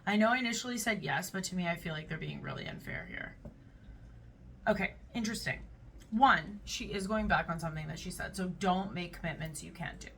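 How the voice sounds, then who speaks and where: A woman's voice: on the low side, a little fast, vocal fry, fairly bright tone, far from the mic, slight background noise. A woman hosting a casual reaction video, chatting and reading online comments aloud. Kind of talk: casual reaction to read-out posts.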